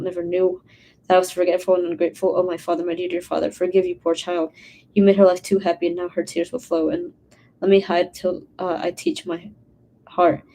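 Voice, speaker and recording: A woman's voice: lowish voice, warm tone, slight background noise, close to an everyday voice. Woman reading as a sorrowful young woman.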